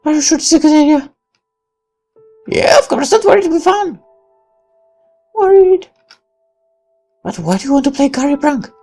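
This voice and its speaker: A sweet, playful young girl from a visual novel, acted out by a man in a very high, swooping voice. Faint music plays behind the lines. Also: expressive, normal speed, long pauses, darkish tone. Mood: playful, scheming. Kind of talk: visual novel character read-aloud.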